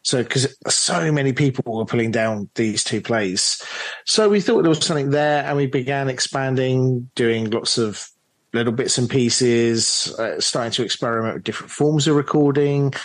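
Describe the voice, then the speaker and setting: A man with an English accent talks as a podcast guest. His pitch is average and his delivery is casual and conversational. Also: normal speed. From England. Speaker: interview guest, audio drama director. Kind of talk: casual interview chat.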